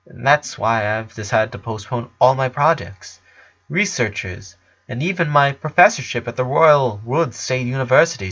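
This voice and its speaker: A man's voice: mid-range voice, a bit bright, highs cut off. A man voicing a four-year-old genius girl, serious and stubborn, speaking in formal, precise, wordy sentences for a story.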